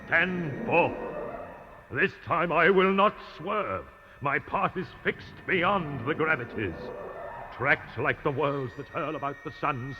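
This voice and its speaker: An actor playing a blinded, obsessed spaceship captain, brooding and vengeful in a grandiose, incantatory radio-drama soliloquy. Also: male voice, mid-range voice. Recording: dull 1960s radio recording with hiss.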